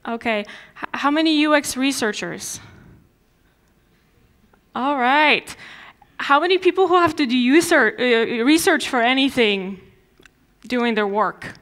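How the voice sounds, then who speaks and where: A woman's voice: sits high, animated, measured pace, long pauses, a bit bright. A young woman from Poland with a Polish accent, giving a conversational conference talk on stage, often restarting her sentences with fillers. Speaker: conference speaker. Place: large dark conference stage before an audience.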